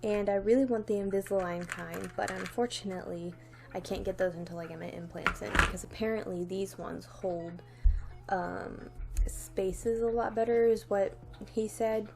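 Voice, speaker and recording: A woman's voice: mid-range voice, steady tone, normal speed, creaky, music in the background. A young woman vlogger chatting casually to camera with a slight lisp from a new retainer, rambling with lots of "like" and restarts. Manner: rambling, many "like"s and restarts, light laughs.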